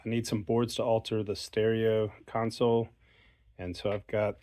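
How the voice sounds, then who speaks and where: A man's voice: on the low side, level tone, moderate pace, dark tone. A man in his fifties hosting a casual DIY vlog, talking informally and thinking aloud as he works. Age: 50s. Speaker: DIY host.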